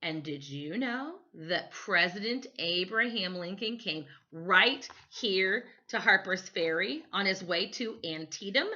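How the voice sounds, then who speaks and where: A woman's voice: medium pitch, animated, slow, hardly any pauses, bright, forceful tone, dull audio. A woman playing a tall, musing man who is a history expert in a children's storybook, in an inviting manner.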